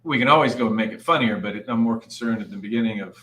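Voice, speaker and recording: A man's voice: on the low side, racing, fairly bright tone. A man who writes and narrates, casually discussing notes at a table read. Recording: roomy echo, noticeable background noise.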